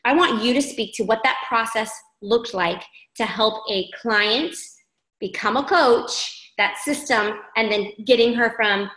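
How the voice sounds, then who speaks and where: Woman coach, bright voice, upbeat and emphatic, echoey room. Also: on the high side, a little slow. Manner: emphatic, affirming, repeats key phrases. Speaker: team coach leading a training call. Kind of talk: encouraging group training talk.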